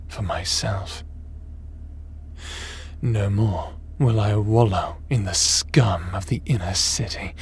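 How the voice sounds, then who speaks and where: A man playing a cold, scheming crime boss in a sci-fi audio drama, speaking in a menacing, measured, smug and theatrical way. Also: on the low side, breathy edge, exaggerated voice. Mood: menacing, ambitious.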